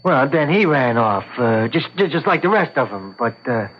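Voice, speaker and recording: A man's voice: medium pitch, very animated, moderate pace, a little hiss or hum, lightly acted. A man acting a talkative, stubborn eyewitness in his sixties, hesitant and folksy, in an old-time radio courtroom drama. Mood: earnest, halting. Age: 60s. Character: talkative sixty-seven-year-old male eyewitness, stubborn and plainspoken. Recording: dull lo-fi vintage radio recording.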